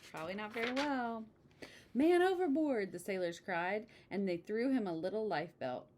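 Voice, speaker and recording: A woman reading a children's picture book aloud in an expressive way, with casual asides. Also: medium pitch, moderate pace, slight background noise. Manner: expressive, exclaiming story delivery. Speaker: storybook reader.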